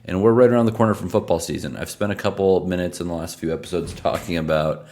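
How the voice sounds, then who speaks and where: Male host, hoarse and worn out, gravelly and extremely low, chatty stat-heavy betting picks. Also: fast talker, a little mellow. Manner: conversational, rattles off stats. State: hoarse, losing his voice; tired from travel. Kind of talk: casual sports betting analysis.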